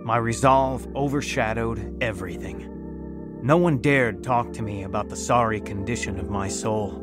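A man's voice: fairly low pitch, moderate pace, music in the background, lightly acted. A man acting as a bitter, hot-tempered working-class mechanic telling his life story in a radio drama, blunt and combative. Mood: grave, reflective.

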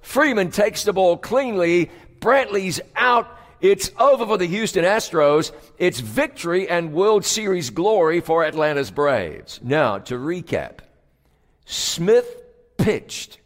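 A man's voice: high voice, takes it slowly. A man preaching a sermon in a folksy storytelling manner, leading his congregation in prayer from a church stage. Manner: folksy storytelling with lively play-by-play. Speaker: pastor. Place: large church auditorium. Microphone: stage microphone with monitors. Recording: long reverb of a large hall.

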